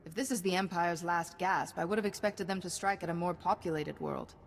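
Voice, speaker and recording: An actress playing a composed female Jedi hero who speaks briskly and with self-assurance in a video game cutscene. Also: fairly low pitch, creaky, in a large hall. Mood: resolute.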